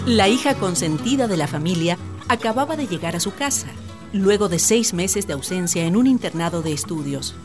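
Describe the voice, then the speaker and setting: A woman's voice: lowish voice, animated, moderate pace, over music, lightly acted. A woman giving scripted, storybook narration as the narrator of a radio play.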